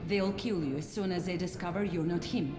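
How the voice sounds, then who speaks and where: A woman's voice: fairly low pitch, brisk, reverberant, natural voice. An actress playing a determined, secretive female security chief in a video game cutscene, commanding and matter-of-fact. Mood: grave, matter-of-fact. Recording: music playing behind the voice.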